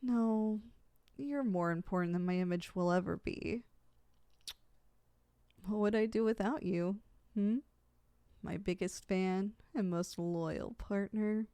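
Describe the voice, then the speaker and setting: A woman's voice: mid-range voice, takes it slowly, pauses often, mellow, clear, resonant tone, dry recording. A woman playing a proud but easily flustered, lovesick young supervillain in an affectionate, dramatic ASMR roleplay. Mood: tender.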